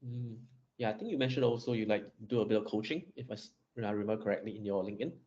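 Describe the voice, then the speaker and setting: Fast-talking male host on a dull line. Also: fairly low pitch, mellow. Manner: brisk, frequent affirming backchannels. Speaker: podcast host. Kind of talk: interview.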